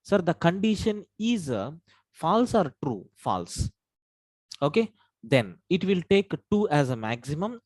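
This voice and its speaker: A man's voice: fairly high pitch, sing-song, measured pace, darkish tone. A man teaching an online programming class. He walks through each step and keeps calling his listeners "sir". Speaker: programming instructor. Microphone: own mic at a computer, online class.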